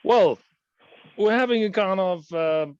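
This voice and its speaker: Male host of an online talk with a fairly high, smooth, resonant voice, recorded dry and close with no room sound. He feels his way through sentences with fillers and restarts. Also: unhurried, darkish tone, no echo, lo-fi sound. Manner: hesitant, many fillers and restarts.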